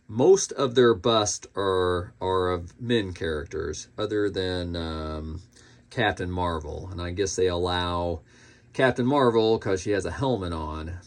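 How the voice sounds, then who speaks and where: Male collector-reviewer, lower-pitched, informal and unscripted, pausing to think mid-sentence. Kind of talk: casual unscripted product review.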